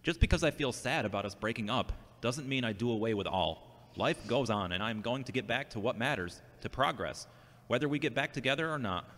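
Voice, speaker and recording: A man's voice: medium pitch, animated, brisk, a bit bright, lightly acted. An actor playing an earnest, defensive, self-doubting man recovering from a brain injury in a scripted audio drama. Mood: defensive, resentful. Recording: long hall-like reverb.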